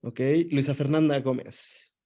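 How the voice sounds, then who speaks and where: A man moderating a video call, calling on each participant in turn with brisk, short prompts. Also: mid-range voice, fairly even intonation, mellow.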